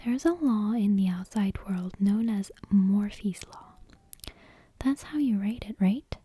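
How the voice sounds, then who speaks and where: Hushed, breathy woman whispering slowly. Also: medium pitch, expressive. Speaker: ASMR channel host. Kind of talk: soft-spoken, whispery ASMR, intro and bedtime story reading. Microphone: close ASMR microphone with headphone monitoring.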